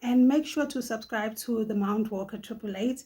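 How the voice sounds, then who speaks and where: A middle-aged woman from Johannesburg with a South African accent, hosting a casual advice vlog, chatty and quick to laugh, often tagging her sentences with "okay?". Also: on the high side, warm tone, husky. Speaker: channel host.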